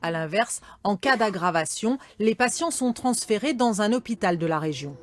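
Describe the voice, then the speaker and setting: A woman's voice: medium pitch, lively intonation, normal speed. A woman reporter reading scripted narration for a television news report.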